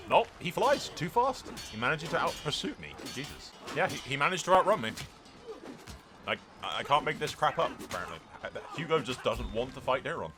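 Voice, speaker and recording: A man doing casual, sweary video game commentary, switching between excitable reactions, explanations and improvised story narration. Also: fairly low pitch. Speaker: video game streamer narrating a role-play playthrough.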